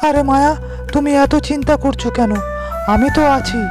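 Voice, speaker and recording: A poor, hardworking farmer from an animated storybook, big-hearted and reassuring, as a woman voices him. He sounds earnest and fairly high-pitched. Cartoon music plays clearly behind. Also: normal speed, natural voice. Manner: earnest, comforting. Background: cartoon background music.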